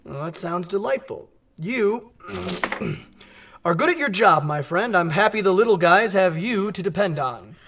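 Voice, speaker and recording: A man's voice: high voice, animated, measured pace, fairly bright tone, distant mic, a little hiss or hum, exaggerated voice. A man playing a vain, easily flustered famous superhero in a comic audio drama, with an indignant manner. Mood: uneasy, then gracious. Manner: indignant, stammering when flustered.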